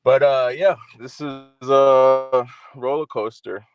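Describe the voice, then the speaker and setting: Deep-voiced man from Wisconsin, soft-spoken. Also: steady tone, slow, muffled recording. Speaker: guest musician. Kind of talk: casual interview chat.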